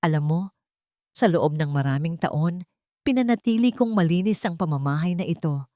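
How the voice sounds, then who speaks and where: A woman's voice: lowish voice, lively intonation, moderate pace, dark tone, no echo, studio quality, natural voice. A woman voicing a haughty, wealthy older matriarch in a storybook drama, cold, contemptuous and commanding. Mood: cold disdain.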